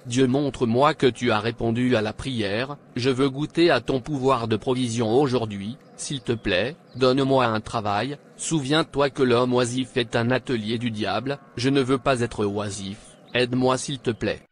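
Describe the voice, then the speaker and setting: A man's voice: medium pitch, normal speed, few pauses, natural voice. Guy voicing a broke hungry cartoon man, proud, pleading and complaining, noise in the background. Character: desperate, proud, hungry jobless man praying to God.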